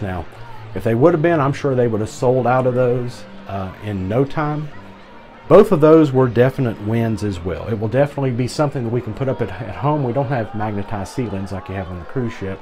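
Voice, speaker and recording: A middle-aged man reviews products in a casual, rambling way, with fillers and restarts. His voice is mellow and relaxed at an ordinary pitch. He speaks close to an arm's-length camera inside a big cruise ship atrium. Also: fairly quick. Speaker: travel vlogger. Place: atrium balcony of a large cruise ship, indoors. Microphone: camera held close at arm's length.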